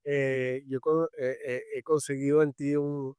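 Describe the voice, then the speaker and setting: A man's voice: mid-range voice, level tone, a little slow, smooth, no room sound, studio quality. Venezuelan man hosting a faith podcast. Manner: warm welcoming, many fillers and restarts. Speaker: podcast co-host.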